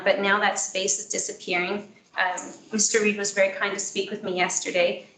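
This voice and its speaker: Soft-voiced woman speaking at a meeting. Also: mid-range voice. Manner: earnest, measured, with frequent ums. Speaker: parent speaking at public meeting. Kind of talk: prepared public statement. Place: school board meeting room. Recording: echoey meeting room with faint noise.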